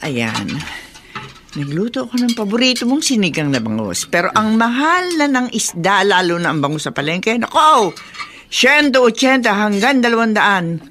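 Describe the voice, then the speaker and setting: A woman's voice: mid-range voice, big pitch swings, normal speed, natural voice. An actress playing a chatty, evasive older Filipino mother of a grown son in a radio drama.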